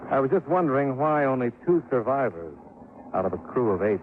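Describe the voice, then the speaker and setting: An actor playing a dry, hard-boiled insurance investigator who narrates and questions in a clipped way, in a 1950s radio drama. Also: male voice, mid-range voice, very animated, a little fast, natural voice. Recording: tinny old radio broadcast with hiss.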